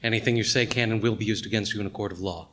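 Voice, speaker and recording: A man acting a firm detective in a radio play, speaking in a formal, measured way. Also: lowish voice, steady tone, a bit bright, slight background noise, lightly acted. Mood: stern, official.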